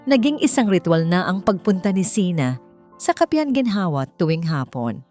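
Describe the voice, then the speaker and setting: A woman's voice: lowish voice, sing-song, normal speed, a little mellow. A woman with a Filipino accent narrating a scripted dramatic romance story, as a storyteller. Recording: music under the voice. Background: background music.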